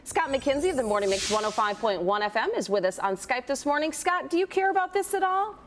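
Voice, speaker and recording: A woman's voice: high voice, animated, quick pace. A woman presenting morning TV news as an anchor, in a conversational style.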